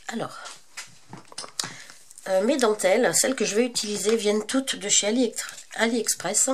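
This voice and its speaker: A woman's voice: medium pitch, normal speed, slight background noise. French lady with a stuffy cold, chatty craft tutorial, lots of euhs, paper crinkling faintly behind. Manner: hesitant, lots of fillers and self-corrections. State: congested with a cold. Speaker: crafting channel host. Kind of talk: casual tutorial narration while crafting.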